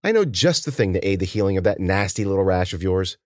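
A man's voice: low-pitched, very animated, quick pace, no echo, clean studio sound. A man voicing a sly elderly woman herbalist in a storybook, coaxing people with patronizing endearments.

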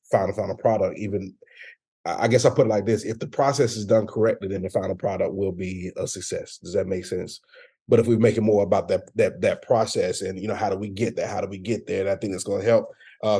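A man with a low, mellow voice speaks on a panel. He is thoughtful and hesitant, often pausing with fillers and restarting as he works through his ideas. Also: breathy edge. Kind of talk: panel discussion.

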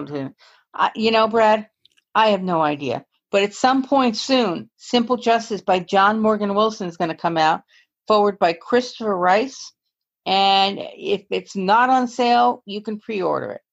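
A woman's voice: mid-range voice, creaky. A woman co-hosting a podcast, chatting casually, rambling and correcting herself as she goes. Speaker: podcast co-host. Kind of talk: casual chat. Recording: dull, lo-fi audio.

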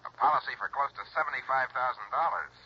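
A man's voice: medium pitch, fast, breathy edge. An actor playing an insistent, businesslike insurance man on a telephone in an old-time radio drama. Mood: urgent, serious. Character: insistent insurance company man calling an investigator. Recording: dull old radio recording, telephone sound.

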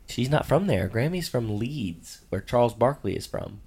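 A man's voice: mid-range voice, animated, normal speed, darkish tone, husky, dry recording, clean studio sound. A middle-aged man, a father co-hosting a casual chat about game picks, patient and dryly teasing in a matter-of-fact way.

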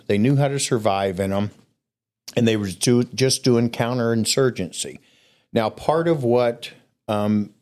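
A man recounting stories he gathered, in a casual, rambling way with fillers, and a scratchy throat that makes him cough. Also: mid-range voice. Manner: relaxed, rambling with fillers and restarts. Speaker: guest storyteller. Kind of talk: casual storytelling on a podcast.

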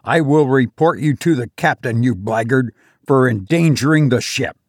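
A man voicing an irate gentleman passenger, scolding and blustering at a servant. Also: medium pitch, moderate pace, no echo, clean studio sound, natural voice. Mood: angry.

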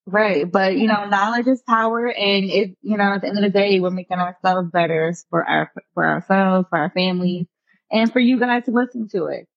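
A woman's voice: medium pitch, normal speed, gravelly, dry recording, lo-fi sound. A young adult married woman co-hosting a podcast, chatting easily and saying "you know" a lot. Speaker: podcast co-host. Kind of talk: casual podcast chat.

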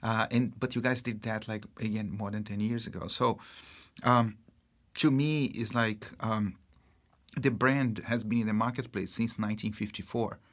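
A man from Brazil with a Brazilian accent, a marketing executive speaking as an interview guest in a halting way, full of fillers and restarts. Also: lowish voice, fairly even intonation, a bit bright. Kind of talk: casual interview talk.

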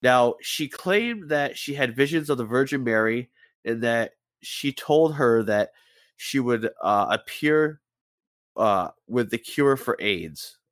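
A man's voice: medium pitch, expressive, takes it slowly, no room sound. A man with a head cold hosting a casual podcast, rambling through a story and stumbling over names as he swears offhandedly. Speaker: podcast host.

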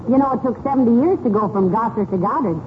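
A woman's voice: a little high, quick pace, natural voice. An actress playing a sardonic, sensible American wife who teasingly deflates her husband, in 1940s radio comedy style. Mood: wry, teasing. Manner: wry, teasing. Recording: noisy, tinny 1940s radio recording.

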